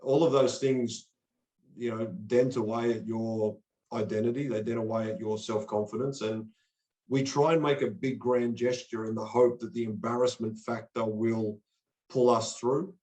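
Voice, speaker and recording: A man's voice: medium pitch, fairly even intonation, normal speed, a little mellow, roomy sound. A man from Australia, a guest coach talking earnestly and motivationally in a podcast interview, often repeating words as he starts a thought. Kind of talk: conversational interview.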